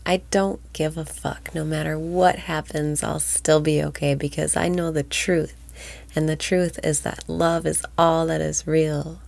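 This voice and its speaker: A woman leading a guided breathing meditation in a slow, soothing voice that often drops to a whisper. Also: low voice, level tone, gravelly, a little mellow.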